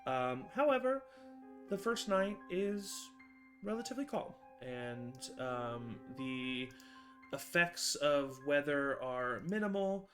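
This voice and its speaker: A man's voice: mid-range voice, a bit bright. A young man narrating a tabletop game as game master, hesitant and full of fillers. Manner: halting, many fillers and restarts.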